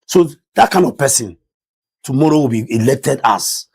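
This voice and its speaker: A middle-aged Nigerian man with a Nigerian English accent and a loud voice, talking emphatically in a studio discussion. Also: medium pitch, moderate pace, breathy edge. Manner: emphatic, excitable, rises sharply when stressing points. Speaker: discussion panelist.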